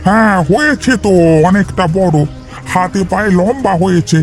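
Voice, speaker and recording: Man playing a high-voiced, grumbling village fisherman. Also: moderate pace, soft background music, natural voice. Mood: sarcastic, irritated. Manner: sarcastic, complaining, haggling. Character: grumbling, sarcastic village fisherman, a father and fish seller. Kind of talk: Bengali cartoon dialogue.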